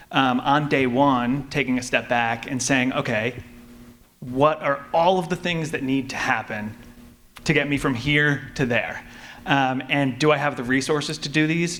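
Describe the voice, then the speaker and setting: A man's voice: medium pitch, a bit bright. A man speaking as a panelist, giving methodical, practical advice in a casual explanatory way at a live event. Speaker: audio drama creator, panelist. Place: live convention panel before an audience. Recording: live panel with background room noise.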